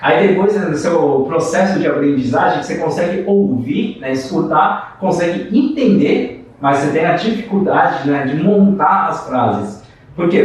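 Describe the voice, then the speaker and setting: A man's voice: on the high side, distant mic. A man born in China, with Mandarin as his first language, chats on a podcast in a slightly raised voice, hedging with frequent "né" tags and restarting sentences. He sits away from the mic in a very echoey room. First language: Mandarin Chinese. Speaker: podcast co-host. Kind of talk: casual podcast chat.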